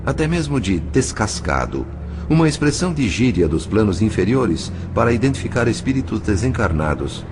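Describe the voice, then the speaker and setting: A Brazilian man playing a composed spirit narrator in an audio novel, speaking in a formal, articulate, measured way. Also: fairly low pitch, sing-song. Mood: grave, composed. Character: composed male spirit narrator recounting his undercover mission. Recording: music behind the voice. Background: music.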